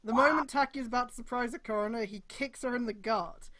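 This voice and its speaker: A woman streamer reading fan fiction aloud in a mocking live style, with exasperated outbursts, drawn-out emphasis and swearing. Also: a little high, a bit bright.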